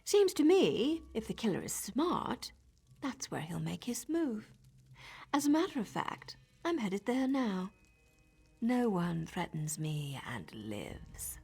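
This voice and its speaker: A husky, sardonic elf assassin. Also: female voice, medium pitch, very animated, slow pace, soft background music, heavily acted. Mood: cool. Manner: dry, confident, often hushed and whispery. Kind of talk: video game dialogue.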